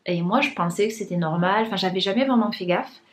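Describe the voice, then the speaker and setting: A woman's voice: medium pitch, fast talker. A young woman talking casually to her vlog viewers, with many fillers and restarts and a blocked, congested nose. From France. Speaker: vlogger. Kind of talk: casual vlog chat to camera.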